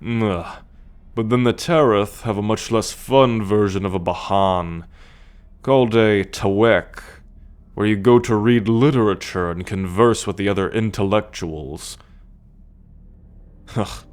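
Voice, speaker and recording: A man's voice: clear, resonant tone, lightly acted. A man playing a cocky, teasing male alien scout warrior in an ASMR roleplay, talking straight to the listener with a drawl. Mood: disdainful. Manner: teasing, slow and drawling, with low chuckles.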